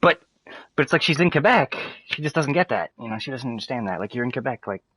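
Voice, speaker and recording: A young man who grew up in French Canada with French as his first language, ranting casually to friends in a fast, rambling way full of fillers and swearing. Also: medium pitch, animated, fairly bright tone, breathy edge, low-quality recording. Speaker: streamer chatting with friends.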